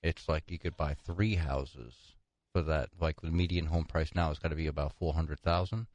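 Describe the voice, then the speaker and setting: Deep-voiced man co-hosting a money show. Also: steady tone, normal speed, darkish tone, no room sound, highs cut off. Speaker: co-host presenting financial newsletter articles. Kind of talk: conversational financial talk show, partly read from notes.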